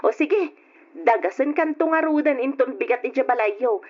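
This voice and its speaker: A woman's voice: high-pitched, lively intonation, normal speed, a little mellow. A woman playing an eager, helpful young student in a radio drama. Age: young adult. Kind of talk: Ilocano radio drama acting. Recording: dull lo-fi old radio recording.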